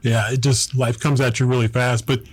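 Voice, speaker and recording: A man's voice: mid-range voice, flat delivery, normal speed, breathy edge. A man co-hosting a sports radio show, talking conversationally in long run-on sentences. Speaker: radio co-host.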